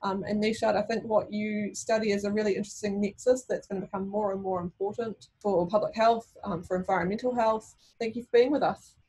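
Husky-voiced woman hosting an online event. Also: mid-range voice, steady tone, a little fast, a little mellow, low-quality recording. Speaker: event host introducing speakers. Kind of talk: formal introduction.

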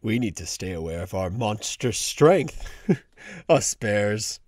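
A man voicing an unstable, menacing adult bear in a manga fan dub, slow, measured and ominous. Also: on the low side, very animated, darkish tone, heavily acted. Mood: calm, ominous.

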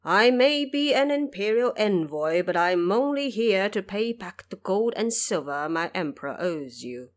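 A woman's voice: medium pitch, expressive, unhurried, few pauses, close to an everyday voice. A woman voicing a dignified male imperial envoy who speaks politely and earnestly in an audio drama. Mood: courteous.

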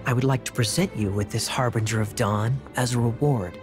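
An actor playing a composed, sly male knight captain in a video game, teasing with smooth, ironic politeness. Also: medium pitch, a little fast, natural voice. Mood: courteous, sly. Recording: music behind the voice.

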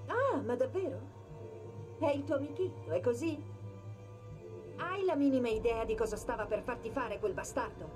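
Sarcastic, sharp-tongued AI companion woman acting, higher female voice, emphatic game dialogue over prominent music. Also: animated, normal speed, long pauses, natural voice. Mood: angry, sarcastic. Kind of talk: dubbed video game cutscene acting. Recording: loud music under the voice.

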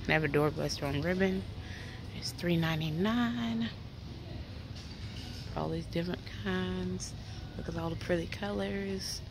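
Low-voiced woman narrating a store walk-through. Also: measured pace, creaky, pauses often. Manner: reads out prices, chatty and practical. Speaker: shopping vlogger. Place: large indoor retail store. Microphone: handheld phone camera. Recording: store ambience noise behind. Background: store ambience.

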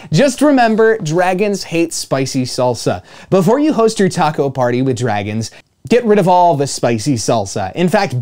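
A man in his twenties reading a picture book aloud to children as a storytime host, playful, with dramatic emphasis and pauses. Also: sits high, a little mellow. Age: 20s. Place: small indoor room with home studio gear.

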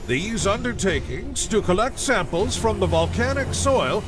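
An actor playing a grandiose, patriotic military propaganda announcer, declaiming scripted game narration. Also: male voice, high-pitched, very animated, measured pace. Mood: rousing. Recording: loud music bed behind voice. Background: loud music.

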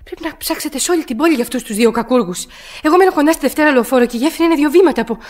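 Nervy bedridden wife in a radio play, high voice, fussy n pleading. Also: female voice, lively intonation, darkish tone. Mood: alarmed, insistent. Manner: agitated, hesitant, insistent, pleading. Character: anxious, fretful bedridden invalid wife, querulous and imperious. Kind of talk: radio drama acting.

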